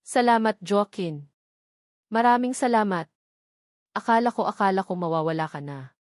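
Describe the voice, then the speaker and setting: A woman with a Filipino accent voicing a soft-hearted, emotional young mother in a romance story. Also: medium pitch, normal speed, no echo, clean studio sound, lightly acted. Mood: tearful, grateful.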